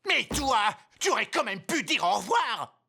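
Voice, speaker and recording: A woman's voice: on the high side, big pitch swings, normal speed, vocal fry, pushed and bright, slightly rough, full character voice. A woman voicing a proud inventor mother who teases others sarcastically. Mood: teasing. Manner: sarcastic, teasing.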